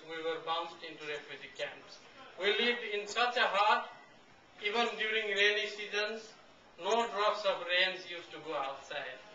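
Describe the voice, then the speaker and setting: Young man, earnest halting talk, repeats phrases, echoey room, far off camcorder mic, faint hiss. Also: sits high, sharp tone. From Bhutan. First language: Nepali. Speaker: refugee guest speaker. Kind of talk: public talk. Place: meeting room, indoors. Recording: echoey, distant, dull camcorder audio.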